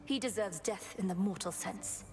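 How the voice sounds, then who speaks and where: A woman playing an earnest, determined young underworld princess and witch in a game, speaking formally and with measured care. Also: medium pitch, lightly acted. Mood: resolute, bitter. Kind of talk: scripted game acting.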